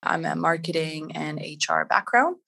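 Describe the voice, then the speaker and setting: A woman's voice: low-pitched, lively intonation, moderate pace, gravelly, sharp tone, slightly rough. A middle-aged woman from Canada, talking in an upbeat, chatty way as an interview guest, with lots of "like" and "you know". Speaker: guest entrepreneur. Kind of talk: casual interview talk.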